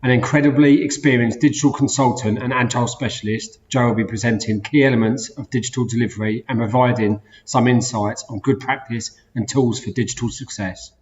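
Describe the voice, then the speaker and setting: A man's voice: mid-range voice, steady tone, a little fast, few pauses, darkish tone. A man introducing the next speaker at an online community event with enthusiasm. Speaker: event host. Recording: dull, reverberant sound.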